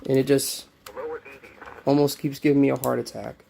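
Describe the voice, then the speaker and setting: A man's voice: medium pitch, level tone, creaky, dark tone, slight background noise. Man casually rambling through a show-and-tell. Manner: halting, rambling, repeats himself. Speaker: vlogger showing a personal collection. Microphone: handheld phone.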